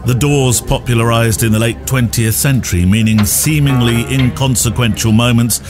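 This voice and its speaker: A man's voice: medium pitch, fairly even intonation, moderate pace, music in the background. A man from Hertfordshire with a southern English accent, hosting a podcast with conversational questions and narration. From Hertfordshire, England. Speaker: podcast host.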